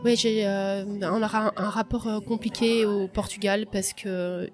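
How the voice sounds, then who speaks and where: A young woman from France giving a reflective, hesitant personal testimony on a podcast, with many pauses and restarts. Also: medium pitch, steady tone, loud music behind the voice. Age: young adult. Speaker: podcast guest.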